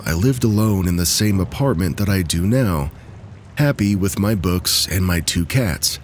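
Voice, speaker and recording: A man with a very low voice reads eerie first-person stories in a scripted, measured way, easing his pace whenever the suspense builds. A faint hiss sits under the recording. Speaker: narrator of submitted stories. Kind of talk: scripted narration of true spooky stories.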